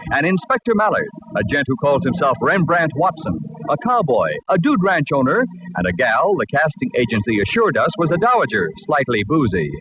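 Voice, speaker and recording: A man's voice: a little high, noisy background. A man working as a radio announcer, reading in a scripted 1940s style with a wry, conversational showman's delivery. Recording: dull vintage radio broadcast, music behind. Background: music.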